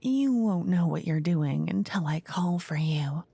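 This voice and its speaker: A woman's voice: fairly low pitch, lively intonation, unhurried, gravelly, husky, dry recording, heavily acted. Woman playing a whispery, playful haughty queen. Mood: smug, delighted. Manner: theatrical, teasing, soft-spoken with whispery phrases. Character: playful, haughty, easily bored queen of a tiny kingdom. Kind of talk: fantasy ASMR roleplay. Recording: close dry ASMR microphone.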